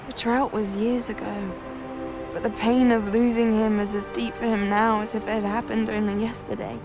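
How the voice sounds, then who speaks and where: Actress as young witch professor, fervent n determined, a bit high voiced, game cutscene w music behind. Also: level tone, moderate pace, close to an everyday voice. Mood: pained, pleading. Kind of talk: dramatic video game voice acting.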